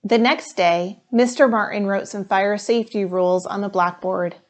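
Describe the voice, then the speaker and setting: A woman's voice: mid-range voice, fairly even intonation, normal speed. A woman reading a children's picture book aloud as a storyteller, acting out the dialogue expressively. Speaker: storytime reader, firefighter.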